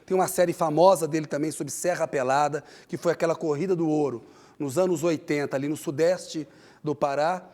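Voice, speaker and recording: A man's voice: a little high, steady tone, a little fast, a little mellow. Brazilian man hosting a TV interview. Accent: Brazilian Portuguese. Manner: friendly, prompting questions. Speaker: TV interview host.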